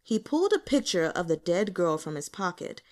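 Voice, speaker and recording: A woman narrating an audiobook, reading scripted fiction with expressive storytelling delivery. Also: lowish voice, moderate pace.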